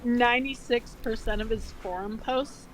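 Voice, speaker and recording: A woman in her twenties chats casually as a co-host. Her voice is fairly high. She is blunt and sarcastic, prone to exaggeration and free with swear words. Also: moderate pace, very noisy. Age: 20s. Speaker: video co-host. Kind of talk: casual chat, reminiscing.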